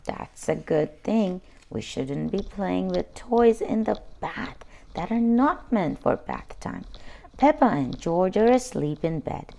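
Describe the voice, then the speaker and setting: Slow, expressive woman reading to children. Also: fairly low pitch, gravelly. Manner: expressive, deliberate. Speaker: read-aloud channel host. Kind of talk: children's storybook narration.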